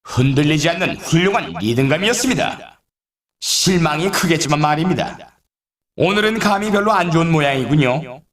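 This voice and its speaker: A man voicing an excitable video game snowboard announcer, high-pitched and swinging widely in intonation. He teases with formal politeness and playful sarcasm. The sound is echoey and set back from the mic. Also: fairly quick, recorded from a distance, crowd noise, close to an everyday voice. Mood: encouraging. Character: excitable, teasing male snowboard race announcer. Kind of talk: scripted game sports commentary.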